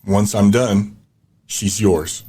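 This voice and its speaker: An actor playing a cold, smug, ruthless gang boss in an audio drama, giving coolly threatening commands. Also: male voice, lowish voice, fairly even intonation, takes it slowly, dark tone.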